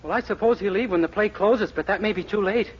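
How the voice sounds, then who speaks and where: Man playing a high-voiced, naive young American. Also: quick pace, lightly acted. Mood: puzzled. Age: young adult. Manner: boyish, earnest, plaintive. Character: naive, meek, lovelorn young man, innocently dim. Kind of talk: 1940s radio comedy acting. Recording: very noisy, dull 1940s radio recording.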